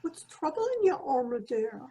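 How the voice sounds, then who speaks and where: A very shrill, high-pitched landlady in a radio comedy. She draws her words out slowly and theatrically, swooping between folksy endearments and scolding. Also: sing-song, mellow, slightly rough, full character voice. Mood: cheery, doting. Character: shrill, sentimental Irish landlady, easily affronted. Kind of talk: old-time radio comedy acting.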